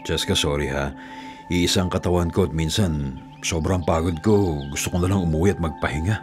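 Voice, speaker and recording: A man's voice: low-pitched, steady tone, a little fast, lightly acted. An actor with a Filipino accent playing a tired, devoted young working man in a radio drama, earnest, reassuring and apologetic. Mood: weary, apologetic. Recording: music under the voice.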